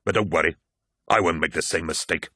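A man's voice: deep voice, a little fast, sharp tone, no room sound, studio quality, heavily acted. Man voicing a curt, menacing warrior captain. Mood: angry threat. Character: hot-tempered, suspicious warrior captain, defiant and threatening. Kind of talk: dramatic audiobook character voice.

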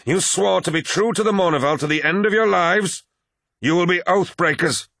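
A man voicing a forceful, accusing warrior captain in an audiobook, declamatory and challenging. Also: high-pitched, normal speed, gravelly, dry recording, studio quality, pitched above the performer's own voice, full character voice. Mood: outraged.

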